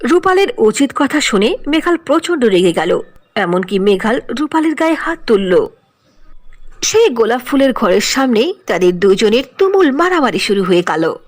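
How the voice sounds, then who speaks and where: উঁচু গলার নারী গল্পকথক. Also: female voice, fairly high pitch. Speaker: narrator. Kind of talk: scripted cartoon storybook narration.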